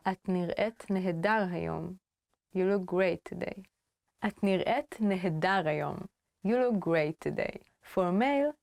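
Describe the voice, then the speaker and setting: A woman teaching a language lesson on video, playful and upbeat, switching between slow explanations and quickly spoken example phrases. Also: on the low side, vocal fry, darkish tone, no echo, studio quality. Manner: playful and upbeat, with frequent laughs.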